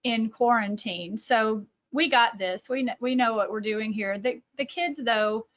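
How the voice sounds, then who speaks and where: A middle-aged woman with a Texan accent speaks in a somewhat high voice. She talks informally, like a presenter thinking aloud and correcting herself as she goes. The sound is a little dull and lo-fi, as on an online call. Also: a little slow. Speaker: speaker, educator and author. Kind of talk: informal online talk with personal anecdotes. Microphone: video call.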